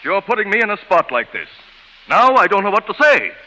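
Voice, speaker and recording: In a reverberant old radio recording, an excitable lawyer quick to object. The voice is high, very sharp and animated, as in a 1930s advertising sketch. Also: male voice, exaggerated voice. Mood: indignant.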